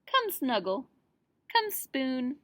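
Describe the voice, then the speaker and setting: A woman voicing a caring mother spoon in a children's storybook, gentle, soothing and encouraging. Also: high voice, sing-song, very slow, voice pushed up, exaggerated voice. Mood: reassuring.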